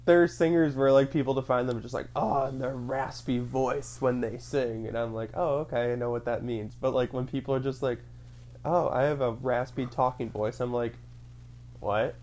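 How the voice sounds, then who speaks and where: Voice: medium pitch, steady tone, moderate pace, darkish tone, a little hiss or hum. A speaker with a mid-range voice, chatting casually with a friend. The talk rambles, packed with fillers, and the speaker acts out their own thoughts in little quotes. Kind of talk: casual chat, storytelling.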